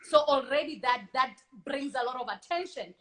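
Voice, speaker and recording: Female guest, high and bright, loud and expressive, video call. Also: normal speed. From South Africa. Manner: loud, passionate, expressive delivery. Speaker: radio and television personality, guest. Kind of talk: casual live-stream interview.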